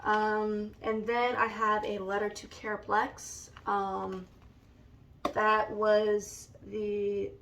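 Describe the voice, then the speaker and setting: A woman with a raspy, allergy-hoarse voice, slowly and hesitantly showing a scrapbook in a casual vlog. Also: medium pitch, little pitch movement, fairly bright tone, recorded from a distance, slight background noise. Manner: slow and hesitant, many ums. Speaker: vlogger. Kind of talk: casual show-and-tell vlog.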